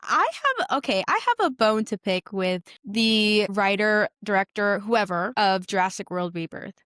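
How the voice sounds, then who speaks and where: Excitable young woman, dry podcast sound. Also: mid-range voice, normal speed, clear, resonant tone, dry recording. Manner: excitable, gushing, dramatic emphasis. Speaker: podcast co-host. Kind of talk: casual chat.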